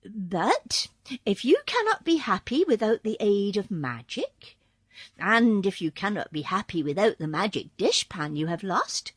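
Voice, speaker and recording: A woman's voice: a little high, no room sound, studio quality. A woman voicing a kind, sensible country woman giving earnest advice in a storybook reading.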